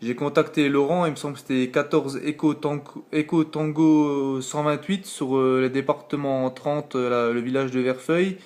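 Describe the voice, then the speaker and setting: A man's voice: mid-range voice, fairly even intonation, normal speed, few pauses, darkish tone. A man chatting casually as an amateur radio operator, talkative and informal, often trailing off into filler tags. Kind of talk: casual radio contact chat.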